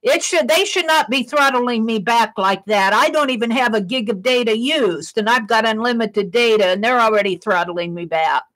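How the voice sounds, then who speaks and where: A middle-aged woman hosting an art livestream, chatting casually with a loud, projected voice while she works and reads chat comments. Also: a little high, expressive, moderate pace, hardly any pauses, rough recording.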